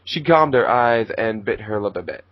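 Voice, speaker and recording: A man's voice: on the low side, animated, normal speed, no room sound, dull audio. A man reading fan fiction aloud as narrator, in a casual amateur style.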